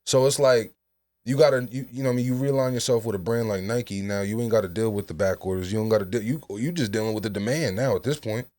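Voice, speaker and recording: A man's voice: on the low side, fast talker, darkish tone. A man hosting a casual podcast chat, talking loosely with many restarts and fillers. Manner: loose, rambling, restarts a lot. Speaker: podcast host.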